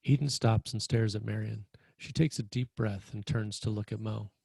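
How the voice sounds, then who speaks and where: Casting: male screenplay narrator, dark relaxed tone, dry recording, straightforward scripted delivery. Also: on the low side, moderate pace, creaky, slightly rough, dry recording. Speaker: stage-direction narrator.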